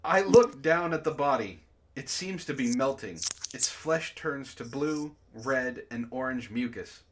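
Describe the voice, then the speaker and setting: A man hosting a comedy book club, reading aloud and bantering casually in an animated, mock-dramatic way, prone to laughing. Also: mid-range voice, unhurried, a little hiss or hum, low-quality recording.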